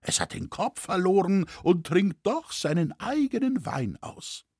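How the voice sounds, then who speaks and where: A husky old craftsman from a historical storybook, played by a man, wry and mock-earnest. His phrasing is flowery and ironic, with wide swings in pitch, recorded close and dry. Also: big pitch swings, a little slow, a little mellow, no echo, heavily acted. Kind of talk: audiobook character acting.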